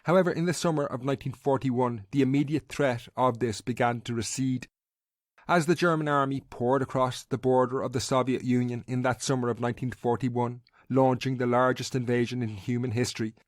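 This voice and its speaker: Male Irish narrator for history podcast; medium pitch, measured scripted read, very dry sound. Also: no echo.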